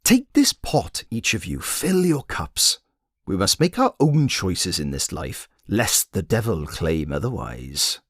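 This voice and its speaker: Whimsical, childlike mystic guide for an audiobook, performed by a man: serene, riddling, unhurried, with widely swinging pitch. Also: very animated, no echo, clean studio sound, exaggerated voice. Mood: solemn. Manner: slow, ceremonious, riddling.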